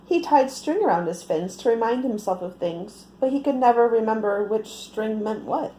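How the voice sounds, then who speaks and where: Very animated, mellow woman reading to children. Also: a little high, normal speed, a little hiss or hum, rough recording. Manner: expressive, asks the listeners questions. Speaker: children's storyteller. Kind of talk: picture-book read-aloud for young children.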